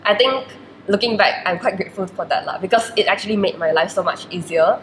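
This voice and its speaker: A woman's voice: mid-range voice, fast. A woman in her twenties whose first language is Chinese, speaking Malaysian English, answering interview questions in a reflective way and filling her sentences with "like". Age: 20s. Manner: reflective, many "like" fillers. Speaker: university student guest. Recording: roomy echo with faint noise.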